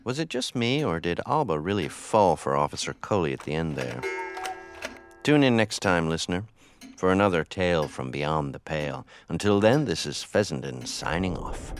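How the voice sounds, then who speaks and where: A man's voice: low-pitched, sing-song, moderate pace, soft background music. An actor playing a sly, macabre male horror-show host in old-time radio style, wry and theatrical. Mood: sly amusement.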